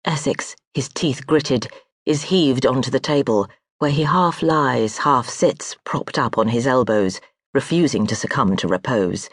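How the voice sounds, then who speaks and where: An audiobook narrator reading historical fiction in a measured, even storytelling manner. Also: mid-range voice, slightly rough, no room sound. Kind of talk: scripted literary narration. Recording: dry close studio audiobook.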